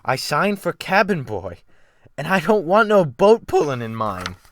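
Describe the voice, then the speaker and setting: A man's voice: fairly high pitch, big pitch swings, measured pace, a bit bright, pitched above the performer's own voice, heavily acted. Man acting a sullen, defiant teenage cabin boy. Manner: sullen, grudging. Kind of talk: dramatic audiobook character reading.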